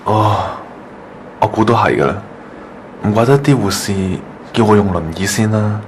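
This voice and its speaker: A man's voice: on the low side, moderate pace, a little hiss or hum, natural voice. A man acting a mild, apologetic young hospital patient in an online radio drama, considerate, with a Hong Kong Cantonese accent. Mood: puzzled, casual.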